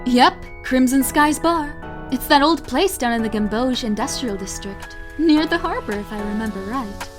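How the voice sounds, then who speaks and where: Woman acting gushing high-pitched glamorous flirt. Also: expressive. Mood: flirty, teasing. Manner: effusive, teasing, drawn-out flourishes. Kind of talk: audio drama acting. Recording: music under the voice.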